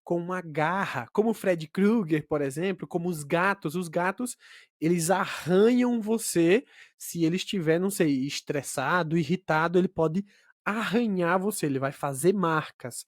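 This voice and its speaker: A man with a high-set voice chats in a friendly way as co-host of a language lesson and sets up examples. The sound is close and dry, with no room around it. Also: normal speed, dry recording. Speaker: podcast co-host and language teacher. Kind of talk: casual teaching chat.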